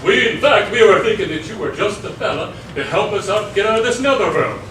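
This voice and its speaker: A man's voice: high voice, expressive, fairly bright tone, slight background noise. A man playing the folksy, jovial ghost of an old man who presides over a debate on stage. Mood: folksy, reassuring. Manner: folksy, unhurried announcer-like introductions. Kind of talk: stage musical theatre dialogue. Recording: roomy distant stage recording, music behind.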